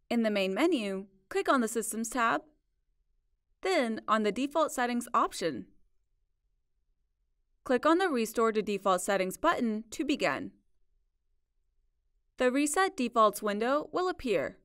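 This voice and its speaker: A woman narrating a scripted software tutorial in a measured, instructional way. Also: fairly high pitch, sing-song, no echo, studio quality. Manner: clear, measured instructional delivery. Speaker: support video narrator.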